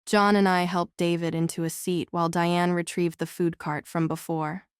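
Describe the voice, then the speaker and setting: A woman's voice: fairly low pitch, little pitch movement, normal speed, smooth, dry recording, studio quality. A woman narrating a novel as a scripted audiobook.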